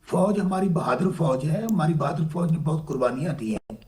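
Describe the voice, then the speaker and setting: A hoarse man from Pakistan, a political guest arguing insistently in a heated talk-show debate and pressing to be heard. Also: a little high, animated, a little fast, mellow. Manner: insistent, keeps asking to be heard.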